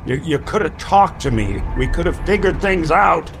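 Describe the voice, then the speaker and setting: An actor playing a gruff, loyal detective in a radio drama, speaking urgently and with emphasis. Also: male voice, a little high, expressive, moderate pace, music in the background. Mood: desperate concern.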